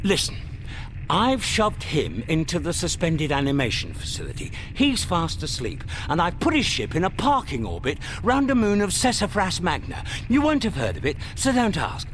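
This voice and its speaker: An actor playing an exasperated intergalactic hitchhiker in a radio comedy drama, indignant, wordy and sardonic. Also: male voice, on the high side, huge pitch swings, normal speed, fairly bright tone, slight background noise, low-quality recording. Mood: insistent. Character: exasperated male intergalactic hitchhiker arguing with an operator.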